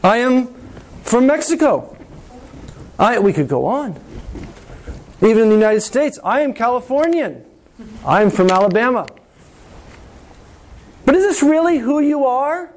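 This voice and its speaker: A man preaching a sermon to his congregation, slow and deliberate, with dramatic pauses and repetition. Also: extremely high pitch, big pitch swings, slight background noise. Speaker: pastor.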